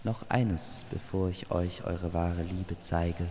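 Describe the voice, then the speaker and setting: A man voicing a gracious, firm female star spirit who gently instructs in a storybook audio drama. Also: low-pitched, steady tone, takes it slowly, long reverb tail, a little hiss or hum. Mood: firm, instructing.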